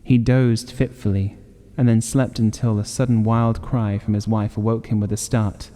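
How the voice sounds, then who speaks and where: Dark-toned man narrating horror, heavily reverberant. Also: on the low side, level tone, moderate pace. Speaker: audiobook narrator. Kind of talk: scripted gothic horror narration.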